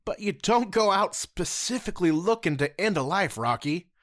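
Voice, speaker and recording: An earnest, protective former soldier, voiced by a woman in an audio drama. He lectures with force, and his pitch rises and falls a lot. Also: animated, moderate pace, natural voice. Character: earnest adult male ex-soldier ranger, protective. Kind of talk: amateur audio drama acting.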